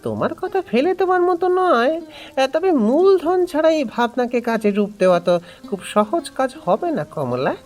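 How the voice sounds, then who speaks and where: A woman voicing a worried, weary village father in an animated cartoon story, with an expressive, fretful delivery. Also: high-pitched, moderate pace.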